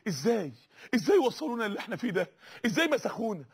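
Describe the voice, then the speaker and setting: High, husky, rapid Egyptian preacher man. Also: sing-song. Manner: rhetorical, repetitive, urgent calls to the audience. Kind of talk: impassioned sermon.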